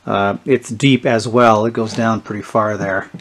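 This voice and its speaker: Low-voiced man, halting casual demo. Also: steady tone, fairly bright tone. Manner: halting, thinking aloud. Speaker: presenter of a vintage electronics channel. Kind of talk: casual unscripted product demonstration. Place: indoors, small tabletop product-shooting setup.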